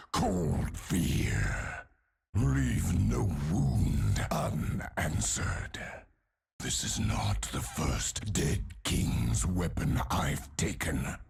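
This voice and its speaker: Hulking undead game villain: very slow, husky, guttural growl, bloodthirsty and menacing. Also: male voice, rumbling low, heavily acted. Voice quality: gravelly, guttural, raspy. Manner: slow, grim, menacing delivery. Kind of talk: video game character voice lines.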